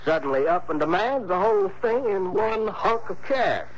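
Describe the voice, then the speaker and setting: Folksy, high-pitched old-radio character. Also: male voice, animated, normal speed. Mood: confiding. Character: folksy, crotchety Western small-town insurance man. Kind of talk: early 1960s radio drama acting. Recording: muffled, noisy old radio broadcast.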